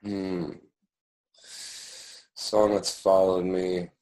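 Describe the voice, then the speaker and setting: A man's voice: deep voice, flat delivery, extremely slow, a little mellow, poor audio. A man in his thirties, a music producer and DJ, giving thoughtful, hesitant interview answers full of fillers and restarts. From born in London, raised in Paris and New York. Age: 30s.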